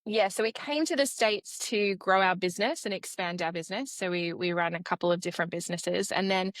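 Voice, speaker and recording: A woman in her forties with an Australian accent, speaking as a guest in a relaxed, friendly interview. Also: on the low side, brisk, no echo. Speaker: guest expert. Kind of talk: casual interview conversation.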